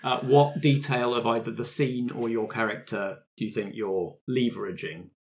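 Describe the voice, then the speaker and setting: A man's voice: medium pitch, fairly even intonation, normal speed, slight background noise. A man speaking as game master, explaining rules and narrating scenes in a conversational, encouraging way. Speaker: game master of a tabletop roleplaying podcast. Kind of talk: improvised tabletop game narration and casual chat.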